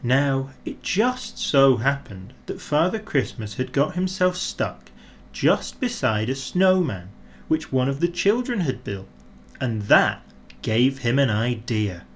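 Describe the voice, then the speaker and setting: A man narrating a children's storybook in an expressive, deliberate way, drawing out words for emphasis. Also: mid-range voice, slight background noise. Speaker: audiobook narrator.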